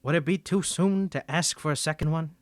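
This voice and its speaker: A man's voice: fairly high pitch, fairly quick, dry recording, close to an everyday voice. A man playing a suave, flirty mafia boss in a cartoony 1950s voice, speaking politely and self-assuredly in a scripted roleplay. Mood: courteous, flirty.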